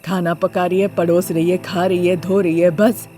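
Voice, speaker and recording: A woman voicing a scornful, bossy older mother-in-law in a moral story, complaining and sharp-tongued. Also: fairly low pitch, little pitch movement, normal speed, soft background music, voice pushed down, lightly acted. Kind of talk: Hindi moral story character voice.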